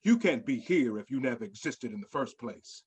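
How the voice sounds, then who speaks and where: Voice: mid-range voice, expressive, moderate pace, darkish tone, breathy edge. A performer playing a gleeful, taunting mad scientist professor in a theatrical sci-fi script reading, gloating with maniacal laughter. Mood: smug, menacing. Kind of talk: live dramatic reading of a sci-fi screenplay.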